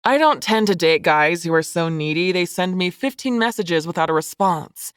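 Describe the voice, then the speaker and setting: A woman's voice: lowish voice, animated, normal speed, clean tone, dry recording, studio quality, close to an everyday voice. A woman voicing a blunt, sassy young woman student in an audiobook, sardonic and forthright. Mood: scornful.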